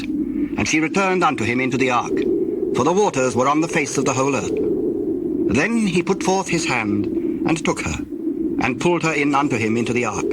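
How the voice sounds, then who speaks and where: Male scripture narrator over loud bird ambience. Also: medium pitch, very noisy. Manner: formal, measured storytelling. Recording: loud nature ambience with birdsong behind.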